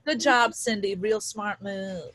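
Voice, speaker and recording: A woman's voice: medium pitch, slow, fairly bright tone. A woman at a comedy table read playing a blunt, crude, exasperated ex-convict sidekick. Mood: bickering, sarcastic.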